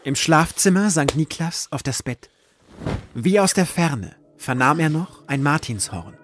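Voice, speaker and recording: A man narrating a scripted audio drama in a measured, literary storytelling manner. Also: fairly high pitch, animated, fairly bright tone, slight background noise.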